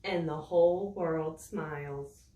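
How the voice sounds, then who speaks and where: A woman reading a picture book aloud to children in a slow, expressive, sing-song storytelling voice. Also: sits low, recorded from a distance. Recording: roomy echo, voice set back from the mic.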